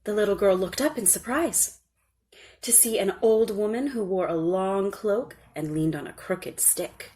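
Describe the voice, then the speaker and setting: A woman reads a children's story as its storyteller. Her voice sits at a medium pitch. The delivery is scripted, measured and expressive.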